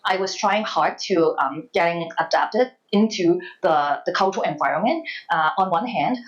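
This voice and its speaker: A woman in her thirties from Hong Kong, with a Hong Kong accent, answering interview questions reflectively in a halting, hesitant way with repeated words. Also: on the low side, dull audio. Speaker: interview guest, former journalist.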